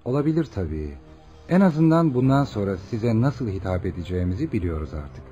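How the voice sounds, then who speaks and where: A man's voice: fairly low pitch, big pitch swings, a little fast, warm tone, dull audio, soft background music. A man playing an attentive, polite hospital doctor in a radio drama, questioning and explaining in a formal, courteous way. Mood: businesslike. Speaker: actor. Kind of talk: Turkish radio theatre acting.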